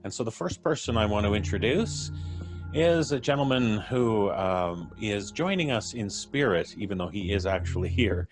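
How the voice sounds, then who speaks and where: A man's voice: on the low side, lively intonation, normal speed. A man in his fifties hosting a live stream, introducing guests in a genial showman's manner. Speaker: host. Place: indoor room, on a video call. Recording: soft music playing behind. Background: background music.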